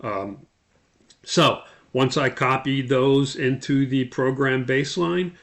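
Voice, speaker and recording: A man giving an instructional walkthrough as a course instructor, explaining in a halting way and spelling out terms. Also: mid-range voice, a bit bright.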